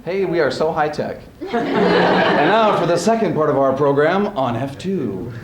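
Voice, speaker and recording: A man's voice: on the high side, animated, measured pace. A man hosting a showcase as speech coach, rambling and jokey in his informal introductions. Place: school event before an audience. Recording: echoey hall with background noise.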